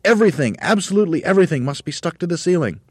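A man voicing an excitable, bossy monkey leader in a storybook, shouting urgent commands. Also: low-pitched, a little fast, no room sound, clean studio sound, pitched above the performer's own voice, natural voice. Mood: frantic, urgent.

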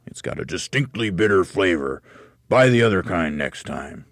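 Man acting a deep, nasty old husband. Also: expressive, gravelly, no room sound, studio quality, heavily acted. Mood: grumpy. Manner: drawn-out, menacing delivery. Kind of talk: children's storybook character voice.

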